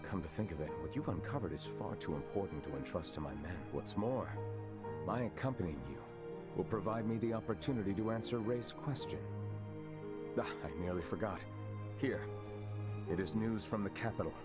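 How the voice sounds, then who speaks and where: A man's voice: low-pitched, lightly acted. An actor playing a composed, formal male military commander in a video game cutscene. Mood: measured. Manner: formal, measured, courteous. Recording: game cutscene with music behind.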